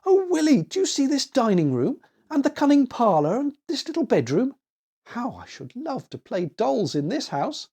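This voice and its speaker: A gushing little girl from a children's storybook, acted out by a man. The voice is lifted very high and swings with wonder and excitement. Every phrase comes out as an eager exclamation. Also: normal speed, slightly rough, exaggerated voice. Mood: delighted.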